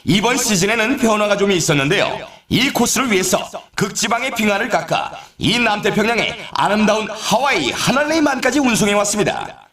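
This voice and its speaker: High-pitched, swooping snowboard game announcer. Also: male voice, very animated, fairly quick, echoey room, a crowd in the background. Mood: excited, celebratory. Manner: theatrical, showy, exclaiming. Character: excitable male extreme snowboarding event announcer. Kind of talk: hyped sports game announcing, scripted.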